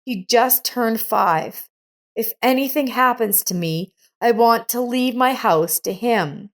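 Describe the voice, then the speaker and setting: A woman voicing a sick, kindly older woman in a storybook narration, speaking gently and earnestly. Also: on the high side, slow pace, voice pushed up. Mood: earnest, solemn.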